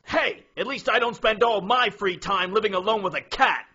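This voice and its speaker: An overprotective young fighter, voiced by a man in an anime parody. The voice is high for a man and animated, and the recording is slightly muffled. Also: normal speed, husky, heavily acted. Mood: indignant. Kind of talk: comedic anime parody dub. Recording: muffled lo-fi dub.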